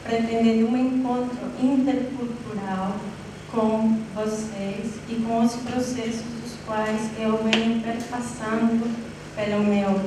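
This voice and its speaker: Colombian woman, 30s, native Spanish speaker, lecturer with deliberate phrasing; distant mic, noisy room. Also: fairly high pitch. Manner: deliberate, searching phrasing with many pauses. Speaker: university lecturer. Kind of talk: university lecture. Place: university classroom or auditorium. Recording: echoey hall from far off, noisy.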